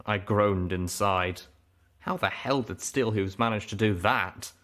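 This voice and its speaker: A man reading a story aloud as an expressive first-person narrator. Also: on the low side, a little slow. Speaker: audiobook reader.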